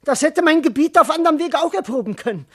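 An actor playing a boastful but cowardly servant in a classical verse radio comedy, declaiming self-importantly and muttering to himself. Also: male voice, extremely high pitch, expressive, fast. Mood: indignant bluster. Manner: theatrical, declamatory, self-important, shifting between muttering and proclaiming.

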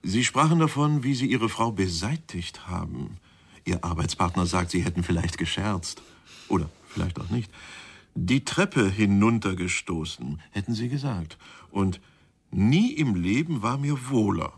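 An actor playing a police inspector in a German radio crime drama, questioning in a measured, dryly polite but insinuating way. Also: male voice, on the low side, expressive, slightly rough, full character voice. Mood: probing, dry.

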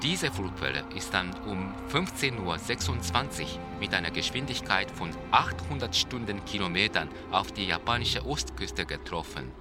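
An actor playing a Japanese seismologist, explaining in a precise, didactic, formal way in a radio-play interview. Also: male voice, on the low side, lively intonation, normal speed, few pauses, loud music behind the voice, lightly acted. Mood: precise, solemn. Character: male Japanese seismologist, precise, solemn expert being interviewed.

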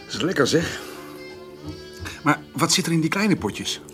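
A man playing a stubborn, homely farmer in a naturalistic radio drama, speaking haltingly and repeating his words. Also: a little high, big pitch swings, fairly bright tone, soft background music. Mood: relaxed, curious. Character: stubborn, plain-spoken farmer, hesitant and homely.

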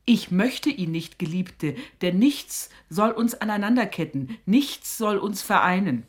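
A woman's voice: medium pitch, animated, measured pace, close to an everyday voice. An actress playing a suspicious, scolding middle-aged mother with an earthy, sarcastic manner in a radio drama. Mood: mocking, warning. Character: stern, sharp-tongued, suspicious mother of a young woman.

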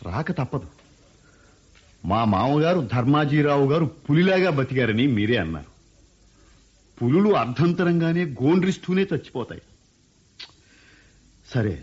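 A smooth-talking political son-in-law, lively and husky, lo-fi radio sound. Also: male voice, medium pitch. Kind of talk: 1970s-style radio play acting. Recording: dull lo-fi radio broadcast.

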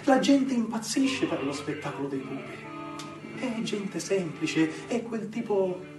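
An enraptured, sentimental Sicilian enthusiast in a radio theatre monologue. His high voice draws out words with emphasis, set in a roomy space with clear music behind him. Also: lively intonation, far from the mic. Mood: fond, animated. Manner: emphatic, drawn-out, enraptured storytelling. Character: earnest, sentimental devotee of Sicilian puppet theatre.

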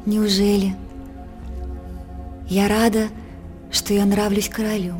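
A young princess of radio theatre, played by a woman, innocent and wistful. Her medium-pitched voice delivers measured verse with composure and gentle reproach. Faint music plays underneath. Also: flat delivery, close to an everyday voice. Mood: polite composure. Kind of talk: Russian theatrical radio drama acting.

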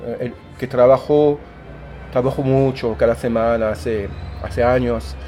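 French guy talking tango on local radio, stumbles and repeats a lot, mid pitch, faint music under him. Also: fairly even intonation, unhurried, dark tone. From France. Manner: hesitant, many repeated words and fillers. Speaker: tango DJ and milonga organizer. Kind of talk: radio interview answers.

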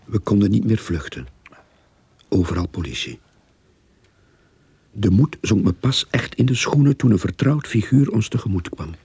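An actor playing a haunted grave robber, narrating his grim tale in a husky, gravelly voice in a radio play. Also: lowish voice, lively intonation, moderate pace, pauses often, a little mellow, exaggerated voice. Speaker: first-person narrator. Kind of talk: dramatic first-person radio play narration.

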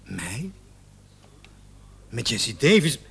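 A man's voice: medium pitch, very animated, extremely slow, vocal fry, husky, heavily acted. An actor playing an indignant, flustered salesman of about thirty who stammers and protests under suspicion in a radio drama. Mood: flustered, alarmed. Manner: protesting, stammering when pressed. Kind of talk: Dutch-language radio drama acting.

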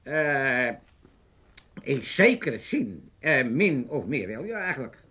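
Old Amsterdam dad, cheeky gambler, very animated pitch, hesitant roundabout talker, comic radio play. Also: male voice, takes it slowly, husky, full character voice. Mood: sheepish, evasive. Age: older. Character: old father, chatty, cheeky, easygoing gambler.

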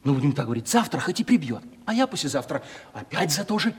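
An actor playing a browbeaten, gloomy yet boastful young merchant's son in a 1970s Russian radio play, with folksy provincial speech. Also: male voice, a little high, big pitch swings, fast talker, husky, full character voice. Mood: brooding, teasing. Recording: reverberant studio radio play recording.

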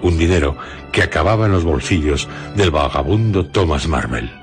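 Male narrator for radio theatre: extremely low pitch, Castilian accent, measured scripted delivery over prominent music. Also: animated. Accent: Castilian Spanish.

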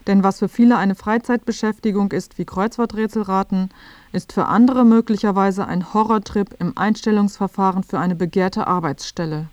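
A woman's voice: mid-range voice, steady tone, moderate pace, a little mellow, no room sound. A woman reading scripted narration for a radio feature.

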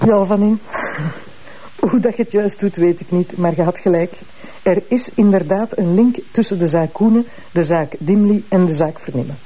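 A woman playing a blunt, teasing, sharp-tongued prosecutor married to a police inspector in a TV crime drama, with a Flemish accent. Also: fairly low pitch, normal speed, slight background noise, lightly acted, poor audio. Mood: brisk, explaining. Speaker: actress in a TV crime series. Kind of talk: naturalistic TV drama acting.

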